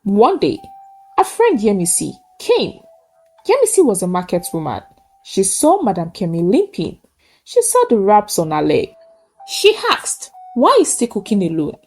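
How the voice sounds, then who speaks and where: A woman narrating a scripted folktale in short, measured, dramatic phrases. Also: medium pitch, huge pitch swings, a little mellow, faint music behind. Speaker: storyteller.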